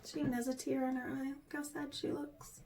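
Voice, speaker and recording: A woman, a teacher, reading a children's storybook aloud in an expressive way. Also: high-pitched, a little fast, noisy background.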